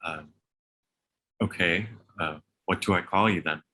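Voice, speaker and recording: A man acts a forensics staffer at a script read. His voice is very deep with a bright edge, and his manner is polite, awkward and deferential. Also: a little slow, full character voice. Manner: polite, unsure.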